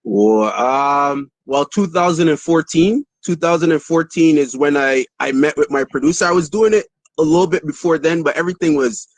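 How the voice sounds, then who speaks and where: A man from Barbados with a Barbadian accent, talking about his music as a guest on a podcast in a relaxed, rambling way. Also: fairly high pitch, normal speed, dry recording. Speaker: dancehall artist guest. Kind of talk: casual interview.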